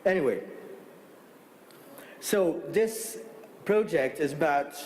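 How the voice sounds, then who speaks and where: A man in his forties with a French accent, giving a conference talk from a podium in a large hall, halting with many fillers and restarts. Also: fairly high pitch, lively intonation, a little mellow, a little hiss or hum. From France. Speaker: conference speaker. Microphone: podium microphone.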